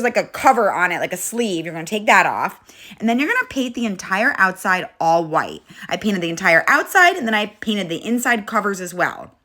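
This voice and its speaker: A young woman hosting a casual craft tutorial, chatty and step-by-step, her voice congested and a little hoarse. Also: medium pitch, bright, forceful tone. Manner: chatty, friendly, step-by-step instructions with frequent "okay?". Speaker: craft channel host.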